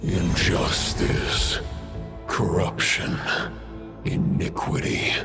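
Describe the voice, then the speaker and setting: A man voicing an ancient, imperious wolf spirit in a video game, speaking gravely and portentously. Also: very slow. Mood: menacing, scornful. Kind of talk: video game character acting. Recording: loud music behind.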